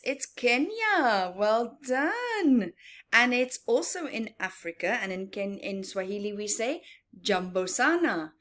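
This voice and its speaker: A woman presenting a story time for young children, talking in a singsong way with repeated words and questions to her listeners. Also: a little high. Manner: asks listeners questions and answers them. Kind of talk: children's read-aloud and teaching, addressed to young viewers.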